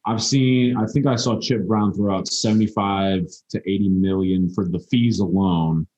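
A man joining a sports podcast as a panelist, laying out his points in a measured way. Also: on the low side, steady tone, a little mellow, echoey room, muffled recording. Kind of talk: casual sports chat.

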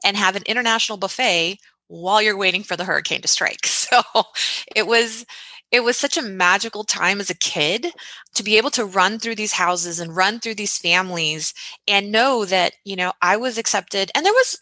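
A woman in her forties from the United States, who grew up in Texas, telling lively and reflective stories as a guest in an interview. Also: mid-range voice, normal speed, no echo. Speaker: podcast host, guest. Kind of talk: casual interview storytelling.

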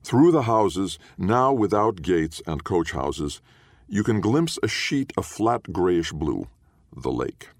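A man reading literary fiction as an audiobook narrator, in a measured, solemn storytelling manner. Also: low-pitched, big pitch swings, no echo, studio quality.

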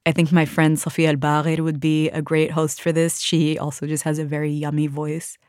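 A woman's voice: low voice, level tone, normal speed, darkish tone, no room sound, clean studio sound. A woman poet from Washington, D.C., talking reflectively and casually about her writing, with frequent filler words. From Washington, D.C., United States. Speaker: author and poet. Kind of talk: reflective podcast interview talk.

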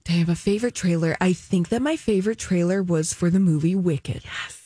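A woman's voice: lowish voice, a little fast, dark tone, no echo. A woman co-hosting a radio show in casual conversation. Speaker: radio co-host. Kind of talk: casual chat.

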